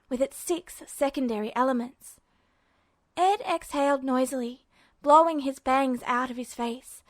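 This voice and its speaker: A woman reading fanfiction aloud as podfic narration in a steady, even manner. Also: sits high, no echo. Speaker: fanfiction reader.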